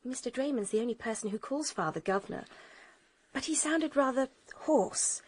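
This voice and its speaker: A composed, direct daughter in a radio murder mystery. Her voice is fairly high with a husky edge, and she speaks plainly. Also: expressive, moderate pace, a little mellow. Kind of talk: radio drama acting.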